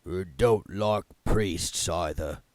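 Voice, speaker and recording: Guy doing a mournful hollow spooky voice from under a chair, really slow, dry storybook sound. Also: deep voice, a little mellow, no room sound, voice pushed down. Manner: sad, slow.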